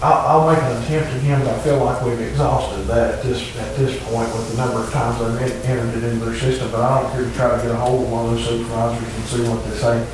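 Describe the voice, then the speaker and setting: A middle-aged man with an East Tennessee accent reports in a flat, halting, matter-of-fact voice. He is picked up from a distance in a very echoey room with clear background noise. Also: medium pitch. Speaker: county emergency services official. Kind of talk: informal report at a public meeting. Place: echoey indoor meeting room.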